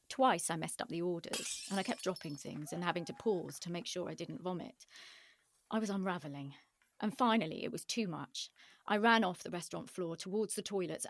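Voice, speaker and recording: Woman as an anxious, low-pitched young woman confiding. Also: slight background noise, natural voice. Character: anxious, frank, self-deprecating young woman narrator. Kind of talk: confessional first-person narration with acted dialogue.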